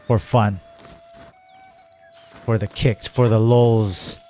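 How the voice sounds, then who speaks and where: A man's voice: fairly low pitch, steady tone, drawn-out words, a little mellow. Flippant man streaming a game, music behind. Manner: flippant, joking, slangy. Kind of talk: casual playthrough commentary.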